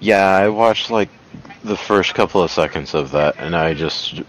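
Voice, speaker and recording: A man's voice: sits low, a little slow, slight background noise, low-quality recording. A man co-hosting a podcast, bantering casually about entertainment. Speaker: podcast co-host.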